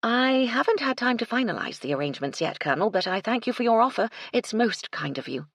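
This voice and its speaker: A woman's voice: a little high, big pitch swings, fast talker, no room sound, clean studio sound, pitched above the performer's own voice. A woman voicing a composed, kindly but firm English lady in charge in a story. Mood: polite.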